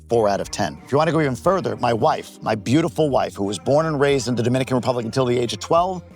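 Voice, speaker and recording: A man in his forties from the United States talks straight to camera in a relaxed, conversational way. He is candid and likes to drop in a joke, and his voice sits at a middle pitch. Faint music plays underneath. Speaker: vlogger. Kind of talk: casual to-camera talk.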